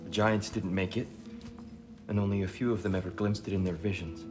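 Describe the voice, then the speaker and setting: A man voicing a wise older god in disguise, calm and earnest. His voice sits fairly low, and clear game music plays underneath. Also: lightly acted. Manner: measured, earnest.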